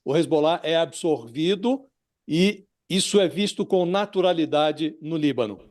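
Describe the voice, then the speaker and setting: A man with a Brazilian accent questioning a guest as a news panelist, working deliberately toward his point with repeated words. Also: fairly high pitch, expressive. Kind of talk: spontaneous interview questioning.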